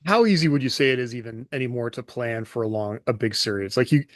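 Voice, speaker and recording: A man's voice: mid-range voice, fast, no room sound, clean studio sound. A man hosting a podcast opens the show and steers the chat with easygoing questions. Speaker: podcast host. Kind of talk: casual panel chat, moderating.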